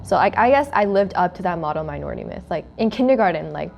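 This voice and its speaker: A woman's voice: lowish voice, lively intonation, quick pace, vocal fry, darkish tone, soft background music. Chatty young woman, Korean first language. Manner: storytelling, frequent "like" fillers. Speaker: student club podcast host. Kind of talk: casual chat.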